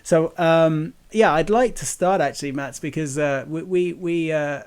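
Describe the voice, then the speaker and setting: A man's voice: a little high, unhurried, darkish tone, smooth. A man hosting an interview podcast, chatty and upbeat, often laughing. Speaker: podcast host.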